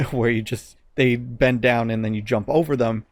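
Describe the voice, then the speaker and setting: A man's voice: medium pitch, unhurried, a little mellow, dry recording. Man, podcast host, casual chat, hesitant delivery, very dry recording.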